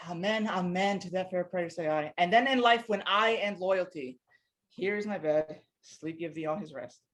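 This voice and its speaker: A woman's voice: fairly low pitch, unhurried, natural voice. A woman playing an ardent young male lover, speaking verse in a stage play. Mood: tender. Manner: declamatory verse delivery. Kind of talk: Shakespearean stage acting.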